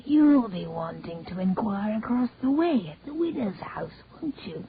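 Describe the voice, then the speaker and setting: A woman's voice: medium pitch, very animated, unhurried. A woman voicing a sly, cackling old village man who taunts with mocking questions. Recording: tinny dry phone-like sound.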